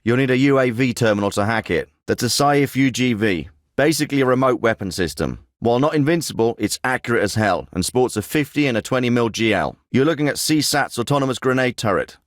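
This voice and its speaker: An actor playing a terse, profane special forces team leader in a video game. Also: male voice, medium pitch, expressive, fairly quick, hardly any pauses, no echo, natural voice. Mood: businesslike. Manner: terse, profane and matter-of-fact. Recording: dry studio recording.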